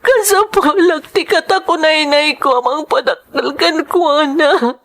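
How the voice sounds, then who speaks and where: A woman's voice: high-pitched, a little slow, a bit bright. A woman acting a burdened young wife and mother in an Ilocano melodrama, earnest and sorrowful, with an Ilocano accent. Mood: tearful pleading. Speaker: drama lead and narrator.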